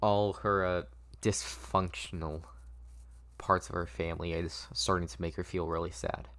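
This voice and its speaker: A man narrating a script at a table read, halting and stumbling over the text. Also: low voice, level tone, fairly bright tone.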